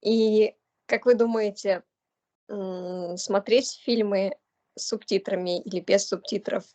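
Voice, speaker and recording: A woman's voice: medium pitch, steady tone, takes it slowly, dark tone. A woman interviewer and Russian teacher who asks short questions and gives brief encouraging acknowledgements. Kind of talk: casual interview.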